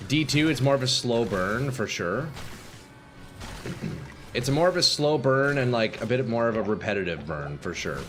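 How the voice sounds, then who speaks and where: A male game streamer talks to his chat in a loose, excitable way, cursing and calling out to viewers. His voice is mid-pitched and slightly hoarse from talking too long. Faint game music plays underneath. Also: moderate pace. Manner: chatty, swears, reacts loudly, talks to chat as "boys". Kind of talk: casual live-stream chat while playing.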